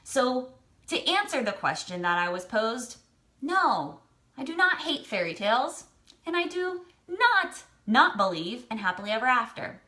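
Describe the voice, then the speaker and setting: A woman's voice: a little high, sing-song, measured pace, pushed and bright. An American woman giving motivational advice to camera, blunt and emphatic, repeating phrases for stress. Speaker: vlogger giving personal advice.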